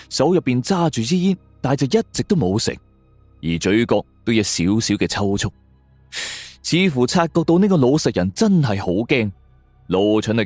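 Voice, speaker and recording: A man narrates a suspense story in the first person, in a theatrical, deliberate way. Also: mid-range voice, no room sound. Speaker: storyteller narrating audio drama. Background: background music.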